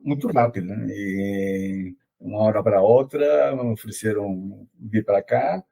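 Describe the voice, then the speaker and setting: A man's voice: lowish voice, a little slow, vocal fry, dark tone. A middle-aged man from Argentina with an Argentine accent, speaking Portuguese as a second language as an interview guest, hesitant with many fillers. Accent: Argentine Spanish. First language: Spanish. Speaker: interview guest, logistics consultant. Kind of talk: casual conversational interview.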